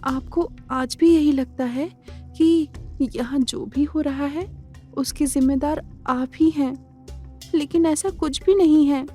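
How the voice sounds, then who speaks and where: A woman's voice: sits high, slow pace, mellow, faint music behind, voice pushed up. A woman voicing an earnest, emphatic young woman, insistent yet tender, in an audio drama. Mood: tender, consoling. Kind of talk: Hindi audio drama character acting.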